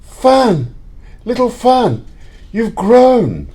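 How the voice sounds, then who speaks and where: Man acting a wistful old miser, swooping pitch. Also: huge pitch swings, very slow, dark tone, heavily acted. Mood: astonished, joyful. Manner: exclamatory, emotional. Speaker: amateur library staff actor. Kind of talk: audio play acting.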